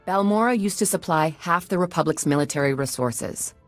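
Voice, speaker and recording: An actress playing a shrewd, commanding senator with a formal, authoritative manner in a video game. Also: female voice, fairly low pitch, animated, a little fast, no echo, faint music behind, close to an everyday voice. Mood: shrewd, firm.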